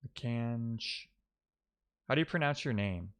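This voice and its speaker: A man who streams live coding, chatting casually and musing out loud in loose fragments as he works. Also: mid-range voice, expressive, a little slow, clean tone. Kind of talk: casual thinking-aloud coding stream chat.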